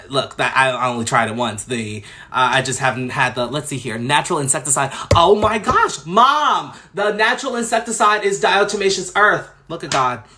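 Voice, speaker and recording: A man from Long Island, New York, chatting casually on a livestream as host, talking fast and gushing expressively as he answers viewers. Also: mid-range voice, bright, forceful tone, slight background noise. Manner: fast talker.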